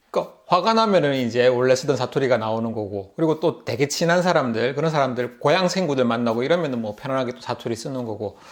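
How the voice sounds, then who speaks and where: A man's voice: mid-range voice, expressive, brisk. A middle-aged man with a Busan Gyeongsang accent, telling a casual story to camera as a vlogger, chatty and laughing often. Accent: Gyeongsang (Busan) Korean. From Busan, South Korea. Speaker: YouTube vlogger.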